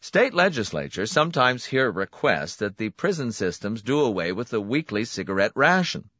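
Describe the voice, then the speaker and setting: A man voicing a smooth, smiling, menacing clinic director who relishes his words. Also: on the low side, sing-song, normal speed, bright, forceful tone, dry recording, lo-fi sound, pitched above the performer's own voice, heavily acted. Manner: silky, pointed, relishing emphasis.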